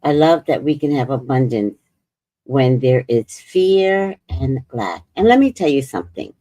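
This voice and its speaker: A woman's voice: rumbling low, sing-song, mellow. A woman vlogger giving blunt, profane commentary as she reacts to clips. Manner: outspoken, profane, drawn-out emphatic delivery. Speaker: vlogger host.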